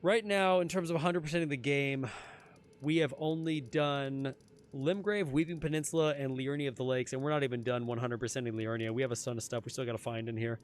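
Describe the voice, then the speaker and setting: A young man streaming a game, chatting casually with his viewers, his voice a little hoarse. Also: medium pitch, fairly even intonation, a little fast. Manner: chatty, answers chat, repeats phrases. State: slightly hoarse. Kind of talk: casual live-stream chat while gaming.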